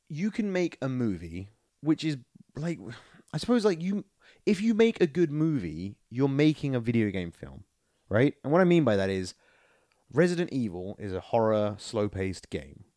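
A man co-hosting a podcast, talking casually and in a rambling, animated way about films and games. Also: medium pitch, normal speed, dry recording, studio quality. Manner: animated, rambling, acts out quotes. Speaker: podcast co-host. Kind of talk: casual film and video game chat.

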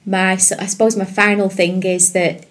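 A woman's voice: medium pitch, level tone, normal speed. A woman, chatting as a podcast guest, rambling with many fillers and restarts. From England. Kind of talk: casual interview chat.

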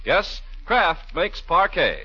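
A man's voice: high voice, very animated, slow, loud music behind the voice. A man with an American accent announcing and selling in polished, emphatic 1940s radio style. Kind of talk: 1940s radio advertising and narration. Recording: dull 1940s radio recording.

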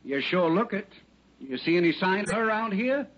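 Sarcastic, husky, swooping policeman. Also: high-pitched, animated, moderate pace, fairly bright tone. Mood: sarcastic grilling. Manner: mocking, incredulous questioning. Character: skeptical, sarcastic, needling male police officer. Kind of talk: old-time radio detective drama acting. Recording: dull, band-limited vintage radio recording.

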